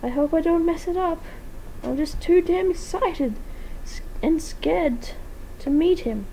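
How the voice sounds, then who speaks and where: A woman voicing an excitable, nervous female animatronic pirate fox in a fan story, gushing and shouting. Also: very high-pitched, a little slow, a little hiss or hum, voice pushed up, poor audio. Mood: giddy, nervous.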